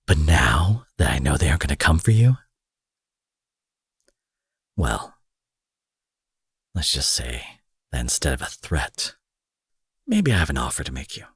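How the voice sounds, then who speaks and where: A low-voiced villain played by a man speaks in an intimate audio drama, coaxing and possessive. His husky delivery swings widely from forceful declarations to breathy murmurs. The sound is close and dry, with a theatrical, protective menace. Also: sing-song, unhurried, pauses often, no room sound, full character voice. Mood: menacing, musing. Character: smooth protective male supervillain courting his captive rival. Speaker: audio roleplay performer.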